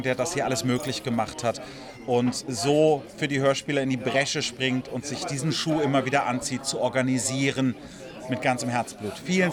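Enthusiastic man over crowd chatter. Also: medium pitch. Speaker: audio drama writer and producer, interviewee. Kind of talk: enthusiastic interview statement. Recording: crowd chatter, busy event.

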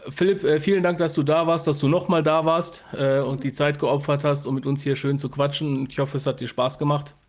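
A man's voice: on the high side, fairly even intonation, a little fast, hardly any pauses. A man, chatting casually as a podcast co-host and interviewer. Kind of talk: casual chat.

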